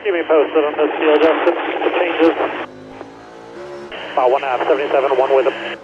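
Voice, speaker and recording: A man's voice: on the high side, steady tone, moderate pace, gravelly. A man on a race team, speaking in terse phrases over team radio. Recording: muffled, noisy race radio with engine noise.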